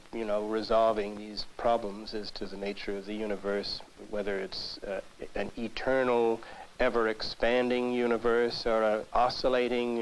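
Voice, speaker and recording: A man giving a thoughtful, deliberate interview answer as a scientist, pausing and hesitating as he explains. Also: fairly low pitch. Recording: faintly noisy 1960s film soundtrack.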